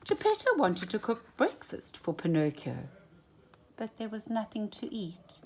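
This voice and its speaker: A woman storyteller reading a children's storybook expressively, with a deliberate, dramatic delivery that moves between full voice and hushed phrasing. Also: medium pitch, wildly swooping pitch, slight background noise.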